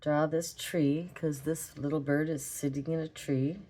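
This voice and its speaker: A hoarse, raspy woman with a Minnesotan accent, chatting casually as she draws in an art tutorial vlog. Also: low-pitched, fairly even intonation, a little slow, mellow. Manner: chatty, rambling, talks while drawing. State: hoarse from a cold. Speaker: art vlogger. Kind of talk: relaxed drawing tutorial chat.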